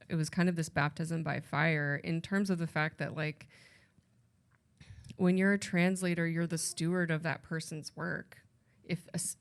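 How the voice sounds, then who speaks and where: A woman translator speaking on a panel at a library event, thoughtful and rambling, often correcting herself mid-sentence. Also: low voice. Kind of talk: casual panel talk.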